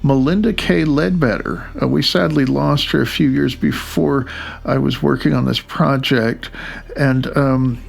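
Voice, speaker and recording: A man, the author, reads his audiobook dedication in a loose, halting way, with fillers and small chuckles. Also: mid-range voice, steady tone, vocal fry, breathy edge. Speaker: author reading his own book. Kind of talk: reading an audiobook dedication with asides. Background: faint music.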